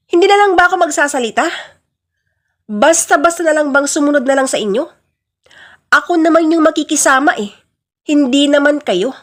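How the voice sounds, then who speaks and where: Defiant grown daughter played by a woman, high-pitched, indignant and pleading, for family radio drama. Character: defiant adult daughter pleading for her own choices.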